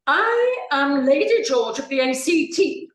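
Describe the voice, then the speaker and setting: A woman's voice: high voice, very animated, a little slow. A woman playing a haughty, indignant society lady in a comic play, imperious and theatrical. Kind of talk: comic stage play reading. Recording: roomy, echoing sound.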